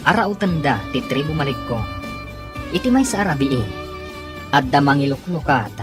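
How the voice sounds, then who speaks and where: Animated Filipino male radio announcer. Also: fairly high pitch. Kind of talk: dramatic scripted radio announcing. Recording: music bed behind the voice.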